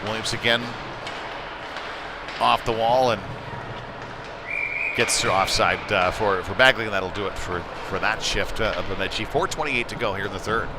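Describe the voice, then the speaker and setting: A man doing live play-by-play of a youth hockey game in a conversational manner that rises to excitement with the play. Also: on the low side, normal speed, pauses often. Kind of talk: live sports commentary with casual asides. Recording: clear noise of a live sports venue.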